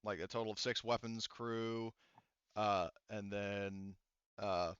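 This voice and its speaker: A man running a tabletop roleplaying game as game master, explaining details casually in a halting way. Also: lowish voice, steady tone, fairly bright tone, clean tone, dry recording, dull audio.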